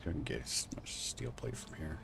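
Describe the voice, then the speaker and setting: A man streaming a game from home, thinking aloud and answering chat in a soft, unhurried voice. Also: gravelly, noisy background. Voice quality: low, soft, relaxed voice. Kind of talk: casual live-stream gameplay chat.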